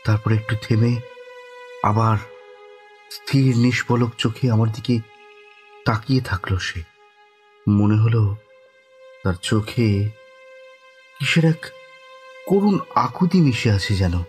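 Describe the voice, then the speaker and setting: A man acting as the shaken first-person narrator of a horror audio story, halting and suspenseful, with dramatic pauses. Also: fairly low pitch, a little mellow, faint music behind. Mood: tense suspense. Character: shaken, haunted man recounting a ghostly dream.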